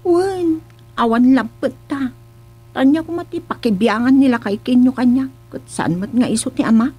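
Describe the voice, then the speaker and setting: High, husky Ilocano village sweetheart. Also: female voice, normal speed, dark tone. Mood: plaintive. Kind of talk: comedic radio drama dialogue.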